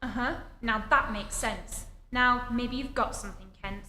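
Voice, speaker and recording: Brisk, sceptical inspector, played by a woman, high forceful voice, old radio serial staged in an echoey hall. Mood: businesslike. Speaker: amateur theatre actor. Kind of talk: 1940s radio acting. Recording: echoey hall, live stage, background noise.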